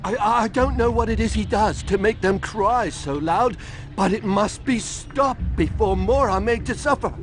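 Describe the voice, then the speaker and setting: A man's voice: sits high, measured pace, a little hiss or hum. A man playing a cowardly, frightened townsman in a video game, stammering and pleading. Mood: fearful, urgent. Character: terrified, cowardly male town preacher turned informant. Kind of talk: dramatic video game acting. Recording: loud music behind the voice.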